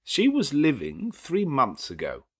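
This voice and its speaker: A man's voice: medium pitch, huge pitch swings, a little slow, fairly bright tone, slightly rough, voice pushed up, full character voice. A man voicing a formal middle-aged male solicitor with a nasal, precise, official way of reading out papers.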